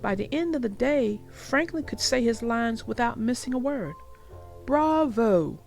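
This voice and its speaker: A woman narrating a read-aloud story for young children with deliberate, emphatic phrasing. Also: a little high, gravelly, soft background music.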